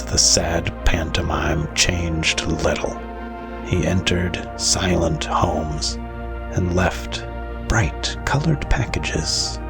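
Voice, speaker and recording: A grave male storyteller, deep and gravelly over clear background music. He narrates a bleak audio drama in a slow, deliberate, literary style. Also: exaggerated voice.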